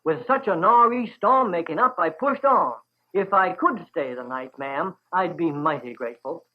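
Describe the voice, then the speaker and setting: A man's voice: fairly high pitch, lively intonation, moderate pace. A man voicing a courteous, weary sailor of about eighty with folksy seafaring talk, in a 1940s radio yarn. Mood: polite, humble. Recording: dull 1940s radio recording.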